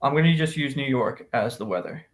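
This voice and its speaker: A man's voice: mid-range voice, lively intonation, a little fast. American man in casual podcast banter. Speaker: podcast co-host. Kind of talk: casual banter between friends.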